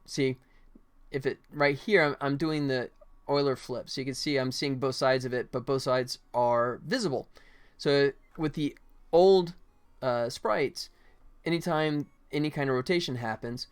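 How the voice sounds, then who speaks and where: A man's voice: medium pitch, smooth. A man chatting casually on a livestream as he works, rambling and thinking aloud as he explains each step. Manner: rambling, thinking aloud, half-muttering to himself while working. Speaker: game developer streaming his work. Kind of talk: casual livestream tutorial and chat while working. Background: music behind the voice.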